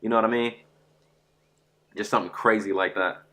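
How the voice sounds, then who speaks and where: Stuffy guy rambling on a game stream, kinda low voice but bright, gets hyped, lots of bro. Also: fairly quick, a little hiss or hum. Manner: excitable, rambling, says "bro" a lot. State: stuffy from a sore throat. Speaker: gaming streamer. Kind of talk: rambling live-stream chat.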